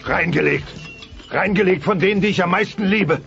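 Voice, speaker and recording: A man's voice: high-pitched, moderate pace, creaky. A voice actor playing a mighty, pompous dinosaur father, blustering and sentimental, in a German dubbed family sitcom audio play. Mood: aggrieved. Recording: dull cassette transfer with music.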